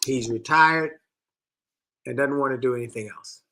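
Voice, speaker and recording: A middle-aged man co-hosting a marriage advice show, retelling a listener's letter in an animated way and often repeating himself. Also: mid-range voice, normal speed. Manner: animated storytelling, repeats phrases.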